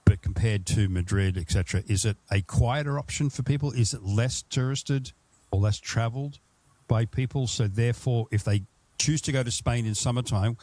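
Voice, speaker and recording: Deep-voiced Australian man hosting. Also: darkish tone, no room sound. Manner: rambling, restarts questions. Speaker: podcast host. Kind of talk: casual interview chat.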